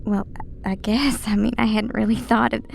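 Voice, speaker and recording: An actress playing a self-deprecating intelligence analyst in a radio drama, hesitant, awkward and wry. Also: female voice, a little high, steady tone, moderate pace, gravelly, slight background noise. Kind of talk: naturalistic radio drama acting.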